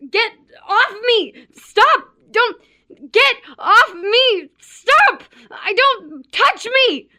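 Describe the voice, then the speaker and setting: High, bright-voiced, dramatic scornful wife. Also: female voice, expressive, unhurried. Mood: angry, distressed. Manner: dramatic, emotionally intense. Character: Mia, unfaithful wife, panicky, scornful, secretly in love. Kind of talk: horror audio drama acting.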